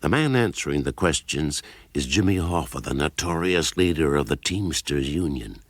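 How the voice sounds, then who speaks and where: A man narrating a radio drama as a grave storyteller, in a measured, deliberate and dramatic manner. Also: extremely low pitch, lively intonation, dry recording, heavily acted. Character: grave storyteller recounting a political feud, adult man.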